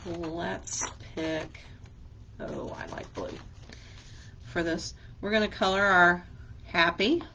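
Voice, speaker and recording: A woman's voice: lowish voice, fairly bright tone. A woman librarian leading a children's craft lesson, speaking patiently and asking simple questions as a teacher would.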